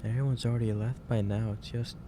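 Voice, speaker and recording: Man playing a gentle, halting boss, noisy recording. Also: medium pitch, fairly even intonation, natural voice. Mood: gentle concern. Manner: hesitant, halting, careful phrasing. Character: gentle, concerned male office boss, lecturing kindly. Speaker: ASMR roleplay performer. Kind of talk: soft-spoken ASMR roleplay. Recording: clearly noisy ambient background.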